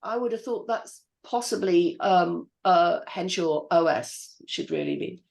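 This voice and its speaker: A woman's voice: lowish voice, sing-song, a little slow, creaky, a little mellow. A woman hosting a script reading panel and giving notes on formatting. She thinks aloud and hesitates with frequent fillers. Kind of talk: casual feedback discussion.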